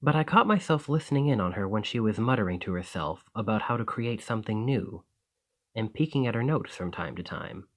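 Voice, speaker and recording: A man's voice: lowish voice, animated, a little fast, fairly bright tone. A man playing a friendly, earnest, easily flustered alchemist in an ASMR roleplay, talking conversationally to the listener. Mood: fond reminiscing. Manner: conversational, enthusiastic, hesitant when embarrassed.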